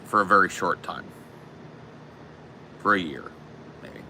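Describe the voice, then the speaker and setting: A man with a very low, gravelly voice that carries a sharp, forceful edge. He talks in a loose, rambling podcast style, swearing freely as he goes. A faint hiss sits under him. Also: lively intonation, unhurried. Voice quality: deep voice. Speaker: podcast co-host. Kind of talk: casual podcast chat.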